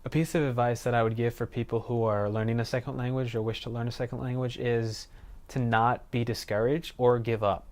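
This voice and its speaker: Smooth-voiced young man. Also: mid-range voice, fairly quick. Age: 20s. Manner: relaxed, conversational, with casual fillers. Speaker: student interviewee. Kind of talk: documentary interview answers.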